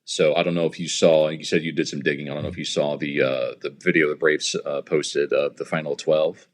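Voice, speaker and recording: An American man in his thirties, a stadium announcer, talking casually as an interview guest and explaining things in a halting, conversational way. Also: rumbling low, little pitch movement, slight background noise. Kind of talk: casual interview talk, anecdotal.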